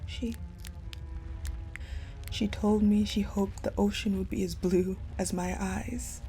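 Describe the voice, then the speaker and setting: A haunted, vengeful ice general played by a woman delivers a dark audio roleplay monologue. Her voice is mellow and relaxed in tone, breathy and often close to a whisper. She speaks slowly and haltingly, with faint music behind her. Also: mid-range voice. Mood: tender, mournful. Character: wounded, vengeful ice-powered woman general recounting her tragic past.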